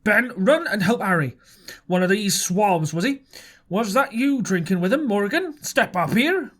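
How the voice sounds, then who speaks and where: A man reading an audiobook, voicing a loud, jovial one-legged pirate innkeeper who blusters and barks orders. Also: high-pitched, expressive, a little slow, voice pushed up, full character voice. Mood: blustering. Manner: gruff, blustering, exclaiming.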